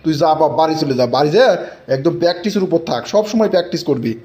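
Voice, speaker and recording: A man acts out an older village man in a comic cartoon. His voice is high and the words come quickly, with emphatic, bossy phrasing and a rural Bangladeshi accent. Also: lively intonation, a little mellow, lightly acted. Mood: bossy advising. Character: scolding, hot-tempered village elder man.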